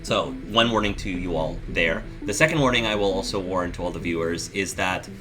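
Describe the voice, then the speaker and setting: A man's voice: low-pitched, a little fast. A man hosting a let's play, chatting casually with his group and laughing easily. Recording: guitar music playing underneath.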